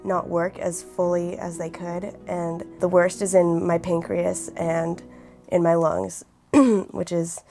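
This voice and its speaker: An eighteen-year-old woman with a raspy voice who keeps clearing her throat, speaking earnestly and reflectively in a documentary interview. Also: lowish voice, monotone, slow pace, dark tone, soft background music. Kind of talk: personal documentary testimony.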